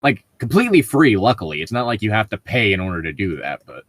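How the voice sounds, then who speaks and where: A man co-hosting a casual story-reading chat, talking in a halting way and often rephrasing himself. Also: lowish voice, very animated, a bit bright. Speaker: co-host reading online stories.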